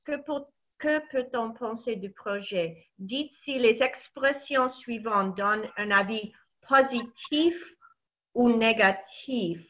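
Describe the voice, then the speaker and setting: A woman's voice: a little high, slow pace. A woman teaching a French class over a video call, giving patient instructions and repeating her words. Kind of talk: online language class instruction. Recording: dull lo-fi video call audio.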